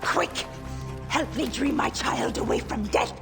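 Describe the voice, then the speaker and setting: Desperate wife, mid-pitched, measured pace, bitter intensity, dramatic game scene over clear music. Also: female voice, wildly swooping pitch, full character voice. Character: distraught pregnant wife, fierce and desperate for her child. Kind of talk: dramatic video game acting.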